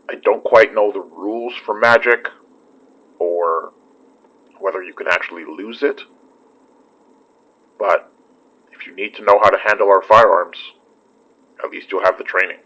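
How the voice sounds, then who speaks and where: Easygoing boyfriend, lowish husky voice. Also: male voice, unhurried, long pauses, exaggerated voice. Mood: earnest. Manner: relaxed, playful, reassuring. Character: easygoing, teasing, protective young boyfriend. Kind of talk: naturalistic horror audio drama acting. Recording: slightly muffled sound.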